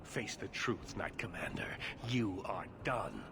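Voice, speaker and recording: An actor playing an indignant, impassioned leader of mages, speaking formally and urgently in a declamatory fantasy game style. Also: male voice, deep voice, expressive, slow, background noise, full character voice. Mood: grave, accusing. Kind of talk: dramatic fantasy video game acting.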